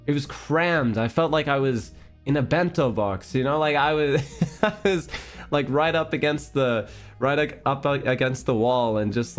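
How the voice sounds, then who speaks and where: A man streamer chatting casually with his viewers, rambling with restarts and little breathy laughs. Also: lowish voice.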